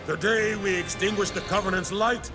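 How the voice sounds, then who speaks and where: A man's voice: high-pitched, fairly even intonation, moderate pace, lightly acted. An actor playing an alien warrior leader who rallies his army in declamatory battle-cry oratory for a video game. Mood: rousing, fierce. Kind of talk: video game cinematic acting. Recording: loud music over the voice.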